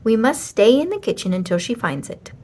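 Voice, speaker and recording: A woman voicing a gentle, serious mother cat who explains things in a motherly way. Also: on the high side, very animated, brisk. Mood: concerned.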